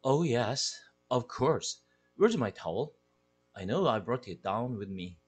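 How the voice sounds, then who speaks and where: A man with a husky, breathy voice reading a storybook, playing a mild middle-aged father who talks hesitantly. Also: medium pitch, very animated, unhurried, faint music behind. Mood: flustered. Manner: hesitant, flustered.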